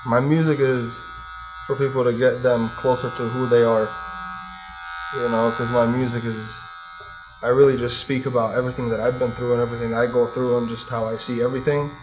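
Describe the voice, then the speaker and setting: Young male artist from Palestine; mellow, unhurried, monotone talker in a barbershop. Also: over music, low-quality recording. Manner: laid-back, low-key, flat and unhurried. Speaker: hip hop artist guest. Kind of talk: casual interview chat. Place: barbershop, getting a haircut.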